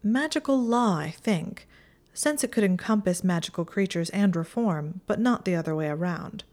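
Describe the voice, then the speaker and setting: A woman's voice: mid-range voice, lively intonation, moderate pace, darkish tone, natural voice. A woman voicing a clever young witch who speaks in a dry, matter-of-fact way.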